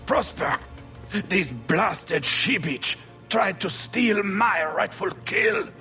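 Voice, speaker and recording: An actor playing a pompous, hot-tempered baron in a video game, indignant, haughty and full of insults. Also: male voice, sits high, animated, slow, pushed and bright, soft background music, exaggerated voice. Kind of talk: video game cutscene acting.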